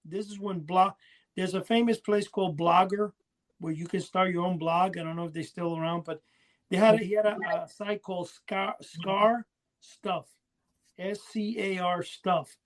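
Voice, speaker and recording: A man's voice: high-pitched, steady tone, moderate pace. A man chatting casually as a podcast co-host, rambling and often losing his thread. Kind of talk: casual chat about collecting.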